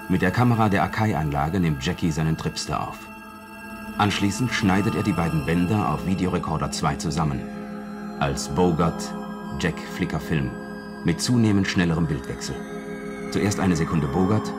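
A man's voice: low voice, natural voice. A man narrating a science fiction radio play in a clipped, matter-of-fact way. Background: music.

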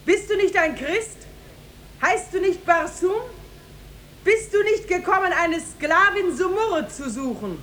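An actress playing a stern, commanding woman who rules and judges as king, in an imperious, deliberate 1950s radio drama style. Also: very high-pitched, lively intonation, a bit bright, full character voice. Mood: sharp, accusing. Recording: noisy 1950s radio recording.

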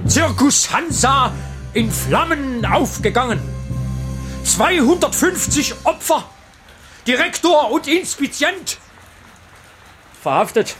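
An actor playing a harried, sardonic circus stage manager in a radio drama, talking in a wry, colloquial way. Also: male voice, very high voice, expressive, takes it slowly, pauses often, fairly bright tone, soft background music, heavily acted. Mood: wry, weary. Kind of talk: 1980s radio drama dialogue.

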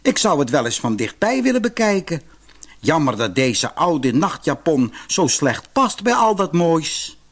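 A man's voice: on the high side, big pitch swings, unhurried, full character voice. A man voicing a homely, wondering mother in a children's fairy tale. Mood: delighted. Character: poor boy's mother, puzzled, then delighted woman. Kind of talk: fairy-tale storybook reading.

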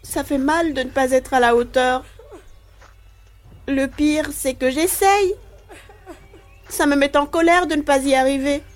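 A woman's voice: sits high, unhurried, long pauses. A woman playing an ashamed, frightened young child in an audio drama, confessional and self-deprecating. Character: ashamed, frightened young village boy who wets the bed. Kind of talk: dramatic monologue in an audio play.

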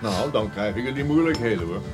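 An actor playing a short, fat, chatty café stranger in a radio drama, with folksy, forward small talk. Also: male voice, mid-range voice, expressive, a little fast, close to an everyday voice. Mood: folksy, nosy. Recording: guitar music clearly playing behind.

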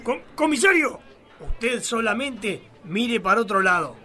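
A man's voice: extremely high pitch, sing-song, a little slow, pushed and bright, soft background music, heavily acted. A man playing an arrogant, scheming rich villain in a live radio play, bossy and scornful. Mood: scheming, condescending. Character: arrogant, scheming, sexist rich man plotting with a police chief.

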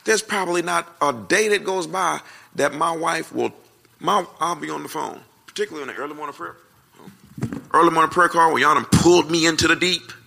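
Loud american guy preacher doing q&a, real gravelly and punchy, folksy, says stuff twice to drive it home. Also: on the high side, fairly quick. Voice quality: naturally loud voice. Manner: folksy, emphatic, repeats phrases for effect. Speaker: preacher answering questions at a marriage conference. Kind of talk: conversational sermon with question and answer.

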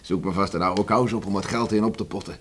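A man's voice: sits low, steady tone, fast talker, close to an everyday voice. A man playing a cheerful, coaxing island farmer and father in a radio drama, folksy and reassuring. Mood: soft, reassuring. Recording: noisy old radio play recording.

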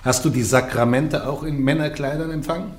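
An actor playing a stern church interrogator who fires pointed questions at the accused in a radio play. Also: male voice, mid-range voice, brisk, close to an everyday voice. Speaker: trial interrogator. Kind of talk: classic radio play acting. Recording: roomy echo.

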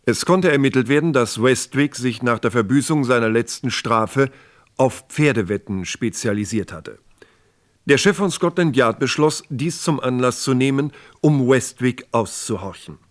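Male narrator, mid-range pitch, formal, measured, scripted true crime radio play. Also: animated.

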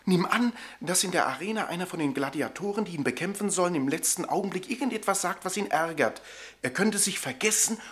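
A gentle, meek lover of animals in a radio drama. He speaks in a mild, soothing way, with simple earnestness. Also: high-pitched, animated, a little fast, background noise. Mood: explaining. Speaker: actor. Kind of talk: German radio play acting.

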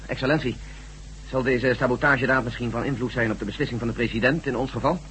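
A blunt general, played by a man, presses his point quickly in an old radio play. He talks fast in a plain, mid-pitched voice. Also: steady tone, fairly bright tone, a little hiss or hum, lightly acted, rough recording. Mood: pressing. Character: blunt male army general. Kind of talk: 1970s radio drama acting.

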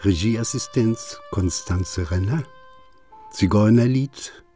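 A man's voice: on the low side, slow, mellow, soft background music. A man announcing a radio play in a scripted, formal credits style.

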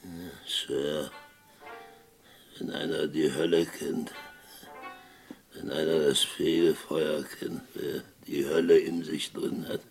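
A grave male witness in a radio play, with a very low voice that moves slowly. He repeats himself in solemn, portentous bursts, sounding haunted. Faint music lies behind him. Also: level tone, gravelly, exaggerated voice. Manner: halting, repetitive, portentous. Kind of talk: dramatic German radio play acting.

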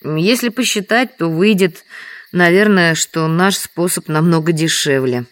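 A woman playing a quietly resigned villager in an audio drama, reasoning softly and hesitantly. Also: lowish voice, unhurried, close to an everyday voice. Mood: hesitant, explaining.

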